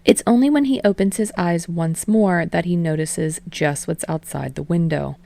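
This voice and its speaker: A woman narrating a fan-fiction story aloud, in an even, casual reading voice. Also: lowish voice, moderate pace, creaky, no echo. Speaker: podfic reader.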